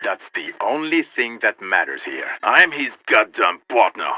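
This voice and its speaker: A man's voice: on the low side, big pitch swings, measured pace, sharp tone, full character voice. An actor playing an irate, fed-up policeman in a video game, curt and scornful, heard through a radio filter. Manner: curt, scornful, foul-mouthed. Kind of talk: dramatic video game acting. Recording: muffled, noisy radio transmission.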